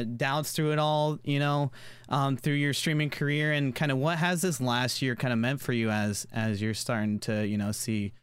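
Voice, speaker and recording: A man's voice: medium pitch, smooth, no room sound. A man hosting a casual podcast interview, rambling through his questions with many fillers and restarts. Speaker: podcast host.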